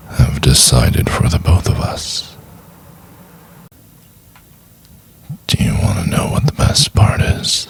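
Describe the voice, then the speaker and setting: Man playing a very deep, whispery possessive boyfriend. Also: a little mellow, slight background noise, exaggerated voice. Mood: controlling, coaxing. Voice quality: breathy, whispery, gravelly low murmur. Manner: slow, coaxing, intimate, lingering on words. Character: possessive, tender, obsessive male yandere boyfriend stalker. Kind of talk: ASMR boyfriend roleplay.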